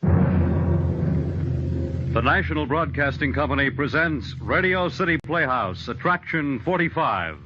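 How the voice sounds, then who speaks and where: A male 1940s network announcer makes a grand introduction. His fairly high voice rises and falls widely, on a muffled recording with blaring music. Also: expressive, takes it slowly, long pauses. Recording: dull vintage radio recording, loud music.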